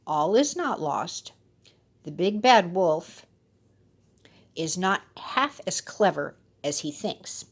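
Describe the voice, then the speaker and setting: A woman voicing a calm mother nanny goat in a storybook, hushed and reassuring. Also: lowish voice, husky, heavily acted. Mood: soothing calm. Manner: slow, hushed, soothing. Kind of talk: children's storybook character voice.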